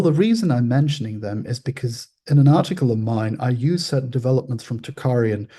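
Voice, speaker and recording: A man whose first language is Swedish, explaining ancient grammar in an informal scholarly way, pausing to restate and qualify his points. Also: mid-range voice, dark tone, husky.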